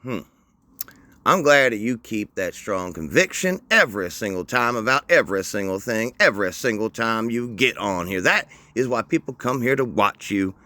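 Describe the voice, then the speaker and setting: A man's voice: on the low side, expressive, normal speed, bright, forceful tone, no echo. A man hosting a commentary show, mocking the clips he plays with dry sarcasm. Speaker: commentary host.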